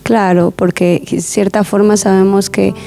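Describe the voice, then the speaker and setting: Mellow-voiced woman hosting a church program. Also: mid-range voice, flat delivery, a little slow, vocal fry, soft background music. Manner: warm pastoral, repeats words, many affirming murmurs.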